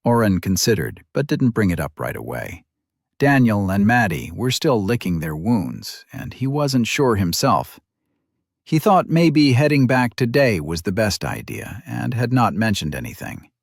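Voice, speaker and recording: A man narrates a fantasy audiobook in a fairly low voice. His scripted reading has very animated intonation, and the sound is close and dry. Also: unhurried, vocal fry, no echo.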